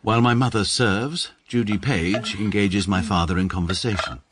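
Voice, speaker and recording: An actor as a very deep, pompous English gentleman. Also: fairly even intonation, normal speed, noisy background, lightly acted. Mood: detached, judicial. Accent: upper-class English. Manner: formal, pedantic, precisely worded. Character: pompous, emotionally detached English barrister narrating his life. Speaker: narrator and lead character. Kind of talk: scripted first-person radio drama narration.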